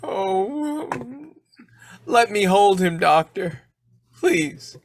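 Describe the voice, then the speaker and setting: An actor playing an overwrought, emotional father in an old-time radio drama, halting and repeating himself. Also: high-pitched, very animated, a little hiss or hum, full character voice. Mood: anguished. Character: overwrought, tearful, grateful young father.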